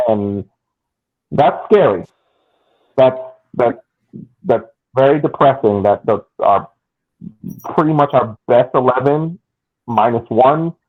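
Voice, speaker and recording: A man co-hosting a fan podcast, talking in a halting way, repeating words as he restarts. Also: mid-range voice. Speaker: podcast co-host. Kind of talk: casual sports podcast chat. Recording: tinny phone-like line.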